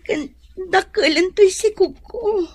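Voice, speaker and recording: A woman's voice: extremely high pitch, takes it slowly, no echo. A woman with an Ilocano accent acting in a radio drama as a meek, troubled young pregnant wife, hesitant and plaintive. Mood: tearful, plaintive.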